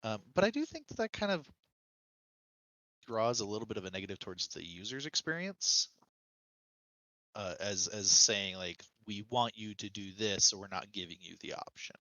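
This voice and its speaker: A man's voice: lowish voice, animated, moderate pace, bright, forceful tone, no room sound, poor audio. A man talking casually about video games as a speaker on a podcast. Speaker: podcast co-host or guest. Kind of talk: casual video game discussion.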